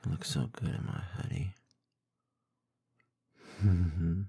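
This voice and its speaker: A man with a low, dark voice murmurs softly into a close microphone for intimate ASMR. His delivery is very slow and teasing, slipping between gravelly tones and breathy whispers. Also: steady tone, no room sound. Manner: soft, teasing, slow murmuring with small chuckles and hums. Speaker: ASMR roleplay performer. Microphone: close binaural microphone.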